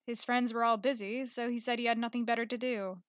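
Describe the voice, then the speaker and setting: A woman voicing a gruff, suspicious young catgirl swordswoman in an audiobook. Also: fairly high pitch, fairly even intonation, fairly quick, dry recording, studio quality, lightly acted. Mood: explaining. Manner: curt, suspicious. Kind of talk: audiobook character acting.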